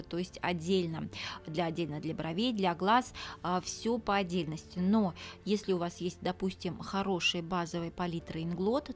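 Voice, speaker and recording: A woman makeup artist narrating a tutorial in a chatty, instructive way, talking to fellow professionals. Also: lowish voice. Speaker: makeup artist teaching a lesson. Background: soft background music.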